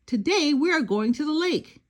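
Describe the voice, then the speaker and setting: Woman as a brisk, high-calling storybook mother. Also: lively intonation. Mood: cheerful calling. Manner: calling out, urgent.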